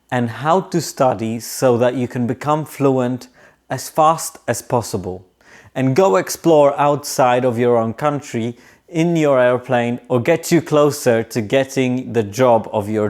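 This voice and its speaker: A man's voice: mid-range voice, darkish tone. A young man, a non-native English speaker, giving a scripted video lesson as an aviation English tutor. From moved to Australia as a teenager. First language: not English. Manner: slow, deliberate explaining. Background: faint guitar music.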